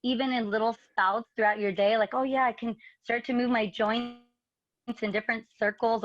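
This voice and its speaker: A woman's voice: a little high, a bit bright, dry recording. A woman, a dance and fitness instructor, giving practical movement advice over a video call in an encouraging way. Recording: dry, slightly dull sound.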